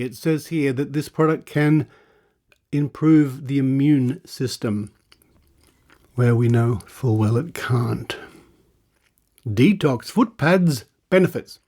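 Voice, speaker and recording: A man's voice: mid-range voice, a little mellow. A man hosting a podcast, reading out adverts and adding dry, sarcastic asides. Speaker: podcast host.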